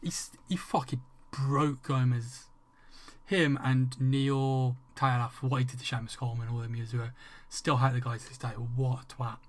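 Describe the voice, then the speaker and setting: A man's voice: mid-range voice, level tone, moderate pace. A man with an English accent chatting casually with viewers during a game livestream, rambling, restarting sentences and swearing freely. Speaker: streamer.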